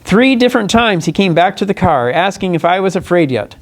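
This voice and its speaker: A man delivers a formal statement as a candidate at a press conference. His voice sits a little high for a man. He speaks earnestly and deliberately, sometimes stumbling and correcting himself as he reads or explains. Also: big pitch swings. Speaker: congressional candidate. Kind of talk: press conference statement, partly read aloud.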